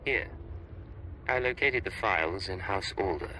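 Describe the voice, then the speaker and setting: Sharp-toned, cocky mercenary. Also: male voice, low-pitched, unhurried, a little hiss or hum, low-quality recording. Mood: cocky, cool. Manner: suave, wry, self-assured. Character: cool, cocky mercenary captain, imperial agent. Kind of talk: scripted video game acting.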